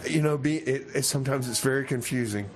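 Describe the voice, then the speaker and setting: A man's voice: mid-range voice, quick pace, a loud crowd, lightly acted. A man playing an easygoing, slightly clueless jailed radio DJ who rambles and repeats himself in an improvised comedy radio play. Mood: easygoing, muddled. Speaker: radio show host.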